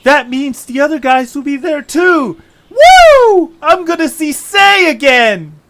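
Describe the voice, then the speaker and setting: A man playing an eager, hopeful young adventurer in an amateur audio drama, with excitable, expressive delivery. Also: very high voice, slow pace, heavy background noise, heavily acted. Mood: elated. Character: eager, hopeful young male adventurer leading his friends.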